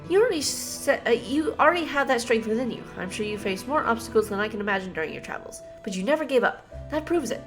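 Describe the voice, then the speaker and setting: Woman playing a confident, high-voiced young trainer. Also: quick pace, few pauses, close to an everyday voice. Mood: earnest, encouraging. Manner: earnest, steady, reassuring. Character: confident, encouraging young female athletic trainer. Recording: game dialogue over music.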